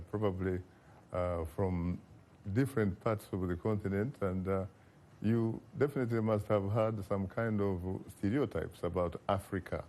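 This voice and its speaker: Man, talk show host, low voice, mellow tone, unhurried questions with fillers. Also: a little hiss or hum. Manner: measured questions with many uh fillers. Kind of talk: broadcast interview.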